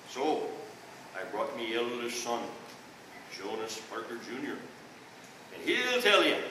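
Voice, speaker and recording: A man's voice: medium pitch, sharp tone, slight background noise, exaggerated voice. A man putting on a hearty old Scottish settler. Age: 70s. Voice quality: put-on thick Scottish brogue. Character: old Scottish male colonist father, hearty. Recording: echoey room from a distance.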